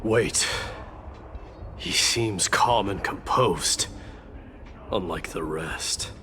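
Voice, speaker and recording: A man voicing a proud, battle-hardened warrior prince in a video game, grave, guarded and measured. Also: low-pitched, pushed and bright, faint music behind, exaggerated voice. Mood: wary, measured.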